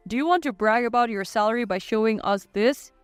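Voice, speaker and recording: A woman's voice: a little high, brisk, clean tone, no echo, faint music behind, lightly acted. A woman playing a selfish, dismissive ex-husband with a curt manner in a narrated family story. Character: selfish, defiant ex-husband, an adult man, dismissive.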